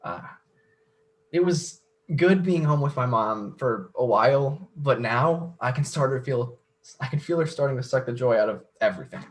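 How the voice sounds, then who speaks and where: A man's voice: medium pitch, expressive, close to an everyday voice. Hesitant, nerdy young man played by an actor. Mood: earnest, friendly. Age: young adult. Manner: hesitant, halting. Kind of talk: stage play dialogue.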